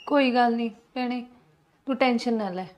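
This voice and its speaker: A woman's voice: fairly high pitch, moderate pace, darkish tone, close to an everyday voice. A woman playing a polite young woman who has come to help her sick sister and speaks reassuringly.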